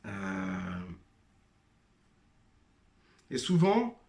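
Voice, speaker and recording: A man's voice: low voice, huge pitch swings, drawn-out words, vocal fry, darkish tone, roomy sound. A man giving a personal testimony monologue, hesitant with many fillers and restarts, addressing listeners as brothers and sisters. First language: not Arabic-speaking. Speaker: former member sharing testimony.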